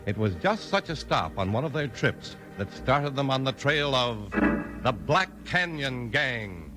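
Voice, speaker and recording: A man's voice: mid-range voice, sing-song, measured pace, over loud music, low-quality recording. A man delivering scripted announcing and narration in the style of 1950s radio.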